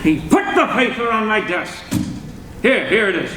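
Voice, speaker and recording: A man playing an irascible old newspaper editor in a radio drama, gruff and flustered, stammering as he blusters. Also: high voice, expressive, moderate pace. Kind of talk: old-time radio drama acting on stage. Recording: echoey hall, distant mic, faint noise.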